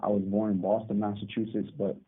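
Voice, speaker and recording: A man in his thirties from Barbados, calling in from prison and telling his story calmly, with hesitant restarts. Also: fairly low pitch, quick pace, slightly rough. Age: 30s. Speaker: guest. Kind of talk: phone interview. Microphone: phone call. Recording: tinny phone line.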